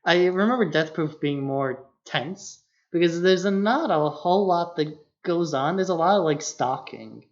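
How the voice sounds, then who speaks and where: A man's voice: on the high side, animated, unhurried. A man co-hosting a podcast, discussing films casually in an analytical, critical way, often peppering his speech with "like". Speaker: podcast co-host. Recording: slightly dull lo-fi podcast audio.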